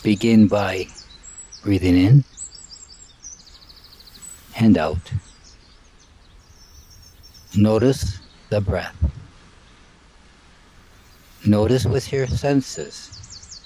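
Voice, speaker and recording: A man leading a live guided meditation in a calm, measured way, in short phrases with long pauses, outdoors. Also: fairly low pitch. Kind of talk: live guided meditation, spoken softly and unscripted. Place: outdoors by water and trees.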